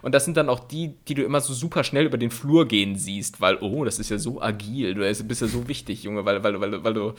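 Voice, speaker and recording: A man's voice: fairly low pitch, a little fast, few pauses. A young man co-hosting a casual podcast, talking loosely with frequent stammers and repeated words, often laughing as he speaks. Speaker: podcast co-host.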